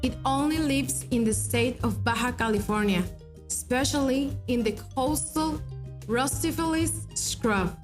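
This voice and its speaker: Give me a woman, slightly high voice, explaining wildlife conservation. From Mexico. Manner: informative, fast when reciting titles. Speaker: conservation officer. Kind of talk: interview explanation. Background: background music.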